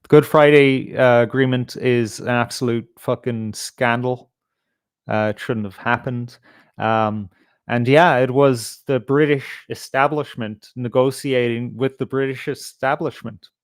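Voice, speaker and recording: A man's voice: medium pitch, fairly even intonation, measured pace, clear, resonant tone, dry recording. A man hosting a casual livestream, talking in a rambling, sweary way. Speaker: show host.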